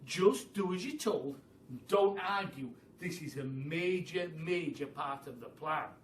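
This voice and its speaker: A man voicing an excitable monkey father who barks impatient orders in a children's storybook reading. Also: fairly high pitch, unhurried. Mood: bossy, urgent. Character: bossy, frantic monkey father leading a scheme. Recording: roomy, distant mic with faint noise.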